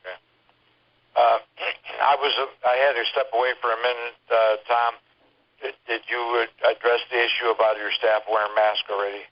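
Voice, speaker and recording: A man's voice: mid-range voice, level tone, moderate pace. A man casually moderating a telephone conference call, checking in with callers and asking them questions. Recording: muffled lo-fi telephone conference line.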